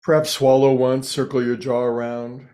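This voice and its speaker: A man with a very even, level delivery leads a guided meditation as its teacher. He is calm and unhurried, setting out short phrases with space between them. His pitch sits in a middle range and moves very little.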